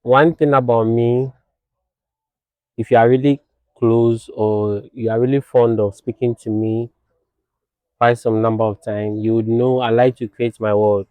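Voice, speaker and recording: A young man from Ghana, born in Nigeria, a fashion designer speaking as a guest in a casual interview, soft-spoken and reflective. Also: mid-range voice, level tone, measured pace, smooth, dry recording, phone-quality sound.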